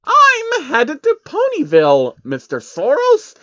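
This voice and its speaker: Man putting on a high, swooping boastful female unicorn magician. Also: huge pitch swings, slow, sharp tone, highs cut off, exaggerated voice. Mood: eager, proud. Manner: theatrical, refers to self in third person. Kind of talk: storybook character voice in amateur fan fiction reading.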